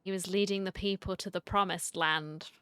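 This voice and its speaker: A woman's voice: mid-range voice, steady tone, normal speed, bright, forceful tone, clear, resonant tone. A woman streamer giving casual, chatty reaction commentary on a game story, with wry asides.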